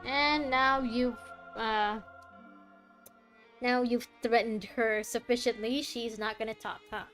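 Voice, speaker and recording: A woman's voice: on the high side, fairly even intonation, slow, fairly bright tone, soft background music. A woman streaming a game with casual, sarcastic commentary, talking back to the characters.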